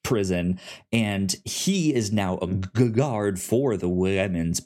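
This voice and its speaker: A man's voice: low-pitched, animated, takes it slowly, darkish tone. A man hosting a podcast, retelling a comic plot in loose casual chat, often stuttering into repeated syllables. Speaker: podcast host. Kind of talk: casual comic book discussion.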